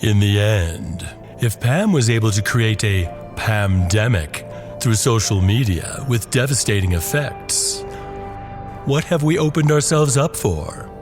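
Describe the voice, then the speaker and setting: A man with a low voice narrates horror over a music bed. His delivery is very slow and deliberate, leaning on ominous emphasis like a dramatic storyteller. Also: lively intonation. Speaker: narrator and host of a horror podcast. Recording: music under the voice.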